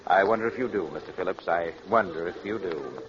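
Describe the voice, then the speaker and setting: A man's voice: low-pitched, fairly quick, natural voice. A dull, noisy vintage radio recording carries an actor as a pompous boss in his late forties. He is a cold, precise man who speaks formally and with condescension, in 1940s radio drama style. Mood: coolly condescending.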